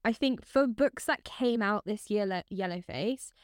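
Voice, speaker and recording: A woman's voice: mid-range voice, a little slow, smooth, dry recording, studio quality. A woman co-hosting a podcast in casual chat, gushing and chatty, full of "like" and the odd swear word. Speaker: podcast co-host.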